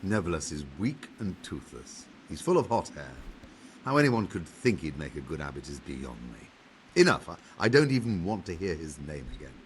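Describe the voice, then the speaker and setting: A blunt, opinionated older monk in a medieval game. The voice is deep, with hugely theatrical swings in pitch and a grumbling manner. There is clear ambient noise behind it. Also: male voice, very animated, normal speed. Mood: scornful. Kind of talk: video game cutscene acting.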